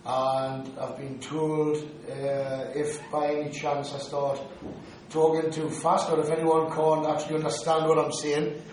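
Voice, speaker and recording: A man's voice: on the high side, level tone, unhurried, darkish tone, far from the mic, a little hiss or hum. Geordie man lecturing in an echoey hall. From Newcastle upon Tyne, England. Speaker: paranormal investigator giving a talk. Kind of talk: lecture to an audience. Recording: very echoey hall, recorded from afar.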